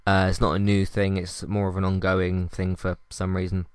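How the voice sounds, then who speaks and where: A male podcast host with a calm, low voice, talking casually through his recent episodes and match ratings and often starting over or stumbling on fillers. His tone is smooth and resonant, and the recording is dry and close. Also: normal speed, dry recording. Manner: calm, conversational, with frequent fillers and restarts. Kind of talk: solo podcast commentary.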